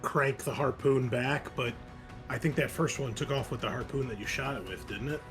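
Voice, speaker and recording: A man's voice: mid-range voice, moderate pace. A man chatting casually as a player in a tabletop game, speaking bluntly about what he wants to do. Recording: faint music under the voice. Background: music.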